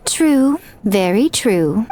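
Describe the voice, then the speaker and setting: A woman's voice: fairly high pitch, sing-song, extremely slow, slight background noise, full character voice. A woman voicing a sly, elegant, mysterious woman in a video game, coy and teasing. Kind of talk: video game character dialogue. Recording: music behind the voice.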